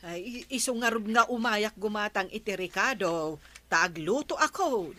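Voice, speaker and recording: A woman's voice: medium pitch, expressive, moderate pace, pushed and bright, no room sound. A woman with an Ilocano Filipino accent playing a village neighbour in a radio comedy drama. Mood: chatty.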